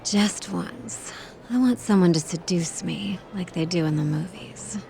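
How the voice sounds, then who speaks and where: Bold game seductress, lowish mellow voice, slow, teasing, languid, faint music. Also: female voice, gravelly. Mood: flirtatious. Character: sultry, flirtatious female bar gambler, languid and bold. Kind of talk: video game cutscene acting.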